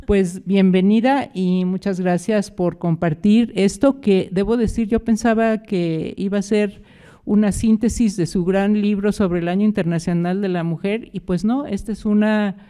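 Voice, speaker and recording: Mellow-voiced woman moderating a lecture. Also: medium pitch, fairly even intonation, clear, resonant tone. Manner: unhurried and conversational, with hesitations. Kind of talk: formal introduction of a speaker.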